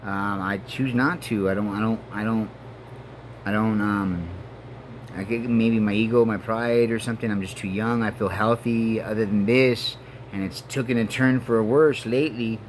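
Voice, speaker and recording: A man in his forties from Mexico and Colorado, a native Spanish speaker, talking in a halting, earnest personal monologue full of fillers and restarts. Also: lowish voice, fairly even intonation, slight background noise. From Mexico and Denver, Colorado. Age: 40s. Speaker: vlogger. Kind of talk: rambling personal monologue to camera.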